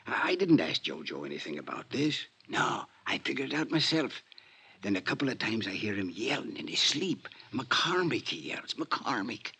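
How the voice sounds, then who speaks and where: A man's voice: on the low side, very animated, moderate pace, pushed and bright, exaggerated voice. An actor playing an ailing, short-winded old male convict, eager and pleading, talking in conspiratorial street slang in a 1950s radio drama. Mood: conspiratorial, excited. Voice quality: raspy, breathy, gasping. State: weak, coughing, short of breath.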